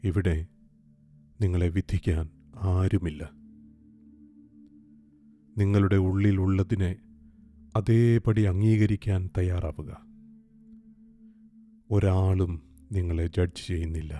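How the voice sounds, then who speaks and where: A man guiding a meditation in a calm, gentle, soothing way, leaving long pauses. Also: sits low. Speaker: meditation guide. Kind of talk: guided meditation, slow soothing instruction. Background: soft meditation music with singing bowl.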